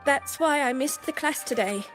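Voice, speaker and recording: Very high-pitched, earnest young student. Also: female voice, moderate pace, fairly bright tone. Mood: apologetic, explaining. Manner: hesitant, polite, eager to explain. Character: flustered, earnest, apologetic young female student. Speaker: video game character, student. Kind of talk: scripted game dialogue. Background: music.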